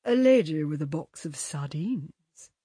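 A woman voicing flamboyant, flippant young bohemian men in an audiobook, loud, hearty and affected. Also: on the low side, very animated, normal speed, no echo, studio quality. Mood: hearty, arch. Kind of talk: audiobook character dialogue.

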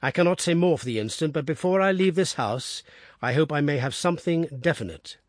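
A man voicing a calm detective who speaks in a measured, reassuring way. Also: fairly high pitch, dry recording, natural voice.

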